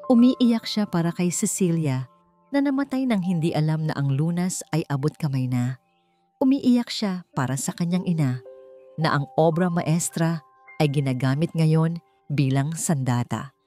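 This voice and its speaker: A woman with a fairly low voice narrates a dramatic romance story. Her delivery is solemn and emotive, and music plays underneath. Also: lively intonation, measured pace, dark tone.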